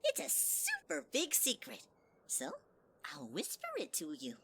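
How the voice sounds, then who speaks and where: A woman's voice: huge pitch swings, a little slow, creaky, fairly bright tone, slight background noise, heavily acted. A woman voicing a sweet-talking, sinister male flower in a fan audio drama, syrupy and teasing. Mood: sugary. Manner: syrupy, teasing, swings high and low.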